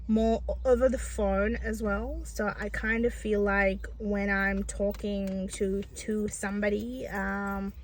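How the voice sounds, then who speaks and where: Slow-talking woman who stutters. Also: mid-range voice, fairly bright tone, rough recording. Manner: stutters, with repeated sounds and restarts; earnest and reflective, many fillers. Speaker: vlogger. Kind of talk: personal vlog monologue.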